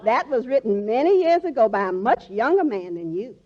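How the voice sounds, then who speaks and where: An actress playing a sentimental, earnest middle-aged wife with a melodramatic, folksy way of talking in a 1940s radio comedy. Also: female voice, on the high side, very animated, fairly quick, dark tone. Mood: fond. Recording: muffled vintage 1940s radio broadcast.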